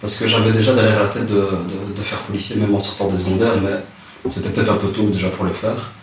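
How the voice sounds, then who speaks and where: A man, telling his life story in a relaxed interview, hesitant and soft-spoken with many restarts. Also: fairly low pitch, fairly quick. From Rwanda. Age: 30s. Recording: echoey room, distant mic, noisy.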